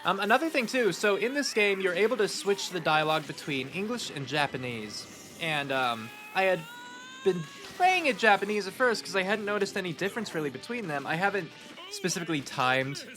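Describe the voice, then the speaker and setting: Guy with a stuffy nose chatting casually over his speedrun, kinda high voice, game music going loud behind. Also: fairly quick. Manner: relaxed, rambling, many ums. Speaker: speedrunner giving commentary. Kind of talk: casual post-run gameplay commentary.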